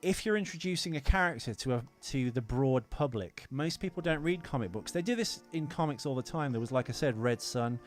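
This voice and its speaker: A man's voice: medium pitch, expressive, fairly quick, few pauses. Ranting man on a podcast, music behind. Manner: ranting, sweary, emphatic. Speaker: podcast co-host. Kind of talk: casual opinionated film chat.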